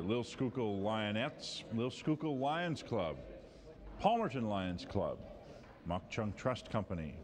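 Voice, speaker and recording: Guy reading telethon sponsors, steady, lower voice, crowd chatter around. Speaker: telethon announcer. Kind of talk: reading a sponsor list on air.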